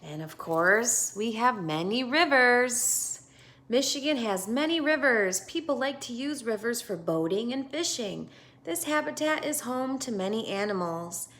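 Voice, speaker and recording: Bright-voiced American woman reading slowly to children. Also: on the high side. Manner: expressive, instructive, often asking the listeners questions. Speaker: teacher reading aloud to children. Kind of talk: children's picture-book read-aloud with asides to young listeners.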